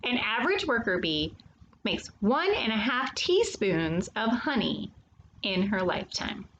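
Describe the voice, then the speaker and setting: A woman reading a children's nonfiction story aloud, addressing young listeners directly with questions and pauses. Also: mid-range voice, very animated, fairly bright tone, highs cut off, a little hiss or hum.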